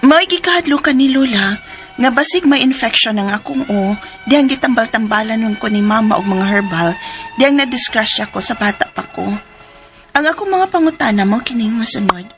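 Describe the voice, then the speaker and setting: A troubled teenage schoolgirl with a Cebuano accent. Her voice is high and plaintive, acted for radio drama, with music clearly underneath. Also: expressive, moderate pace, fairly bright tone. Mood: troubled, earnest.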